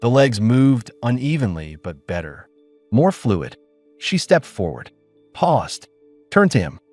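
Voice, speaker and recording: A man narrating a science fiction audiobook in an expressive, dramatic way. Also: medium pitch, slow pace, no room sound. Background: faint music.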